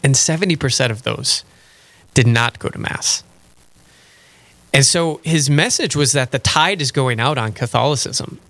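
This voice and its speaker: A man hosting a radio talk show speaks with a bright, ringing tone. He chats in a loose, friendly way and laughs easily at his own jokes. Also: mid-range voice, unhurried. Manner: chatty, self-deprecating, laughs easily. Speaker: radio host. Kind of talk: casual faith radio chat.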